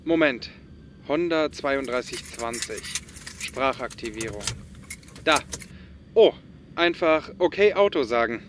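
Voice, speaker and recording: A man's voice: medium pitch, expressive, slow pace, pauses often, a little hiss or hum. A man playing a concerned young companion, loyal and wry, in a German audio drama. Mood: helpful.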